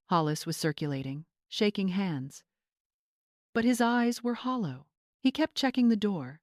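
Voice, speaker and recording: A woman's voice: on the low side, a little mellow, no echo, clean studio sound. A woman narrator reading a scripted story in a dramatic audiobook style.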